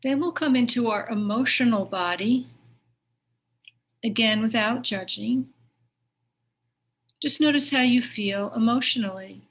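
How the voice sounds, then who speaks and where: A woman leading a guided meditation as a teacher, giving gentle, unhurried instructions with pauses between phrases. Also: a little high.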